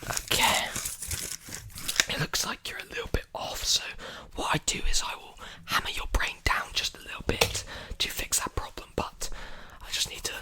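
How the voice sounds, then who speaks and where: A man's voice: moderate pace, pushed and bright. A young man whispering breathily and soothingly into a close mic, repeating phrases in an ASMR roleplay as a repair technician. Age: young adult. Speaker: ASMR performer playing a robot repair technician. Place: small indoor room with clothes hanging behind. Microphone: large desktop condenser mic, very close.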